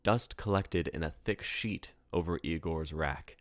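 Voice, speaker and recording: A man's voice: extremely low pitch, unhurried, dry recording. A man reading a story aloud as its author, in scripted narration.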